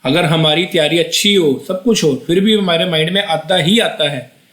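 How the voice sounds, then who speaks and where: A man's voice: fairly high pitch, moderate pace, a bit bright. A young man giving an exam-prep video lesson in a chatty, repetitive way, often restarting sentences and checking in with the viewer. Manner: chatty, repeats himself, restarts sentences, frequent "theek hai ji". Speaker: IELTS tutor. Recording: echoey room.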